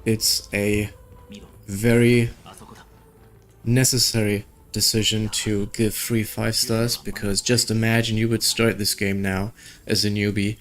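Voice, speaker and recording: A man giving casual playthrough commentary as a gaming video host, hesitantly thinking out loud. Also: lowish voice, flat delivery, a little slow. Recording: background music under the voice.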